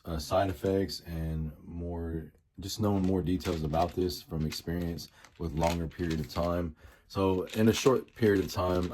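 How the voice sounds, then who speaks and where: Low-voiced man in his late thirties. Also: a little mellow, slight background noise. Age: late 30s. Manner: rambling, repeats and rephrases himself. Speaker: vlogger reviewing a supplement. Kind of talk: casual talk to camera.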